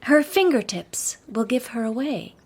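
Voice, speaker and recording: Coy, husky young lady detective. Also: fairly high pitch, lively intonation, measured pace. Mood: arch, coy. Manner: arch, playful, genteel. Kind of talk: period detective radio drama acting.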